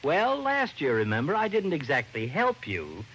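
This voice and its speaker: A man's voice: a little high, wildly swooping pitch, moderate pace, a bit bright, muffled recording, heavily acted. A man acting as a fretful, folksy American police lieutenant, a grumbling sidekick in an old radio detective drama. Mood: coaxing. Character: gruff-humored male police lieutenant, fretful sidekick friend. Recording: noisy old-time radio recording.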